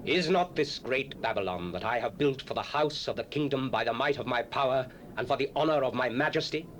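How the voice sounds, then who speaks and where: A man's voice: mid-range voice, animated, fairly quick, fairly bright tone, slight background noise, natural voice. A man playing a proud ancient king. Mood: regal. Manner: stately, declamatory.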